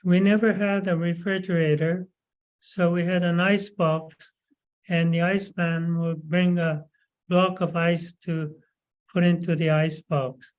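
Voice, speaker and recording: A man in his nineties from Canada, recalling his childhood in a slow, halting way with many pauses and fillers. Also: a little high, flat delivery, low-quality recording. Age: 90s. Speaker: webinar speaker. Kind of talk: recalled life story.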